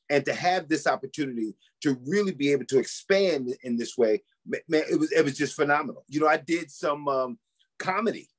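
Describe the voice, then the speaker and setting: Fast-talking husky male pastor. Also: a little high. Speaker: pastor, interview guest. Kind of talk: casual conversational interview.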